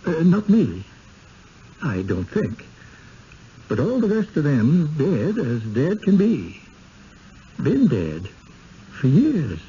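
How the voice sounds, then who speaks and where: An actor playing an eccentric, folksy village man in a radio drama, with a halting drawl. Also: fairly high pitch, wildly swooping pitch, dark tone, full character voice. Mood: matter-of-fact eerie. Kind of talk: 1970s radio drama acting. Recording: dull, noisy old radio broadcast recording.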